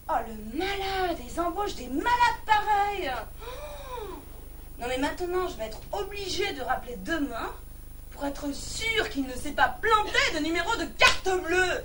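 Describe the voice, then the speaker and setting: A woman performer playing an excitable, indignant, naive woman in a theatrical one-woman comedy monologue, exclaiming melodramatically and repeating her words. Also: very high-pitched, measured pace, heavily acted. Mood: shocked, outraged. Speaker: comic stage performer. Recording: distant mic, noisy live room recording.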